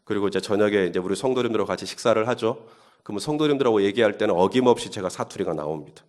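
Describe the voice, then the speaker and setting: A man's voice: fairly low pitch, racing, darkish tone. Conversational male pastor preaching. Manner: conversational, building to emphatic questions. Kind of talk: sermon at early-morning Holy Week service.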